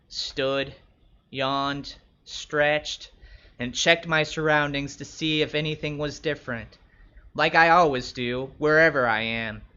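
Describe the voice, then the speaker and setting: A man plays a wary young escort telling his story in the first person. The voice is bright and forceful, a bit high, with a wry, measured delivery. The recording is slightly dull. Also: smooth. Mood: wry, descriptive. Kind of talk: first-person literary narration.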